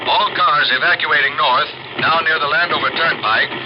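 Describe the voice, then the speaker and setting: An American actor playing a composed but urgent civil defense announcer, giving clipped, authoritative instructions in the manner of a 1950s radio bulletin. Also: male voice, on the high side, moderate pace, background noise, lightly acted. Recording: dull vintage radio recording with loud music.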